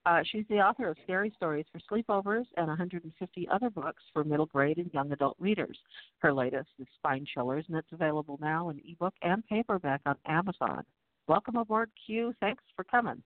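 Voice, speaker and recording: A man's voice: on the high side, a little fast, no room sound. A man co-hosting a radio show, reading announcements and introducing a guest in an informal, improvised way. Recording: tinny phone line, dry.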